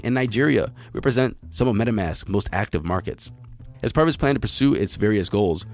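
An American man hosting a news podcast, with a slightly raspy voice lowered by illness, reading stories and adding chatty, wry asides on a close, compressed mic. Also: darkish tone. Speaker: podcast host. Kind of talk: news reading with casual commentary. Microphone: close podcast mic, compressed and equalized. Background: music.

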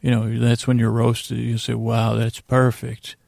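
A man hosting a sleep podcast in a soothing, rambling bedtime monologue, hesitant and meandering, with long pauses and stammered restarts and a gravelly voice. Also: medium pitch, completely flat delivery, a little mellow, no room sound. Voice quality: creaky, gravelly. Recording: faint music under a dry voice.

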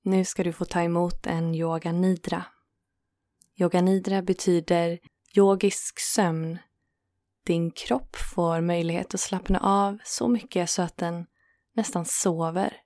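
A woman guiding a yoga nidra relaxation in a calm, soothing manner, leaving gentle pauses between phrases. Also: lowish voice, no room sound, clean studio sound. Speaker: meditation guide and host.

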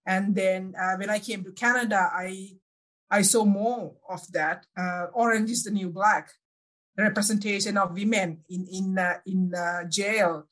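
A woman's voice: mid-range voice, fairly even intonation, fairly bright tone, low-quality recording. Interview guest: woman, Sri Lankan accent, second-language English, mid-range voice, searching for words as she reflects. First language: not English (English is second language). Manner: halting, searching for words, self-correcting. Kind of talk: reflective personal interview answers.

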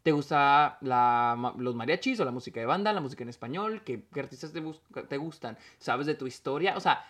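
A man's voice: medium pitch, expressive, a little fast, a bit bright. A man with a Mexican accent giving a casual, rambling solo film review, full of restarts and dropped-in English words.

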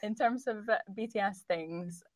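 A woman in her thirties co-hosting a casual podcast, chatty and quick to laugh as she tells stories. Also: medium pitch, a little mellow, no room sound, studio quality. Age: 30s. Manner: chatty, laughs often, storytelling. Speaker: podcast co-host.

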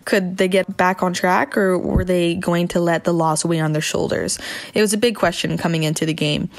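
A woman's voice: fairly low pitch, fairly even intonation, moderate pace. A woman football journalist answering interview questions with articulate, prepared analysis.

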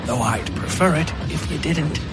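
A man voicing a weary, officious train boss in an audio story, curt, businesslike and sardonic, over loud music. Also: on the high side, natural voice. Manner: brisk, businesslike, foul-mouthed.

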